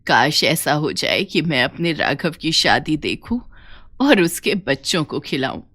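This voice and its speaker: A pious old village mother played by a woman narrator in a cartoon folk tale. She speaks low and gently, pleading and grateful, with expressive rises and falls. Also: a little slow, full character voice. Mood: wistful pleading. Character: devout, grateful elderly village mother of a poor farmer.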